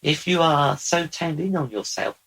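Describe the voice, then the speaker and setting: A man speaking as a channeled otherworldly male being, slow, deliberate and repetitive. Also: a little high, animated, vocal fry, a bit bright, breathy edge, full character voice, low-quality recording. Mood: earnest. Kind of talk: channeled spiritual talk.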